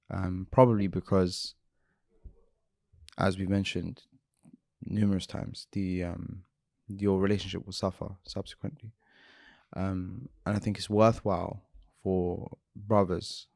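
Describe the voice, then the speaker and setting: A man's voice: sits low, a little mellow, dry recording, studio quality. A man co-hosting a podcast, talking earnestly in casual chat with lots of fillers and restarts. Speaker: podcast co-host.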